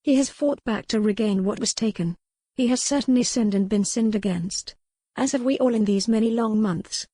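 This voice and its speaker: Woman acting an earnest, pleading young noblewoman, higher-pitched. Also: normal speed, warm tone, no room sound, natural voice. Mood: earnest, defiant. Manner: earnest, formal period phrasing.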